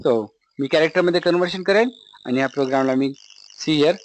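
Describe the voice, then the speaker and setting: Casting: male teacher with a high-pitched Indian-accented voice, walking students through code, questioning and repeating. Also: a little fast. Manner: explanatory, checks back with questions, repeats himself. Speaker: programming teacher. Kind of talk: live classroom lesson with screen demonstration.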